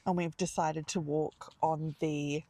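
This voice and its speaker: A woman's voice: sits low, level tone, takes it slowly, dark tone. A woman with an Australian accent chatting casually in a dry, understated way, as a podcast host out walking. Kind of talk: casual unscripted-sounding chat on a walk. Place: outdoors, walking along a small-town street.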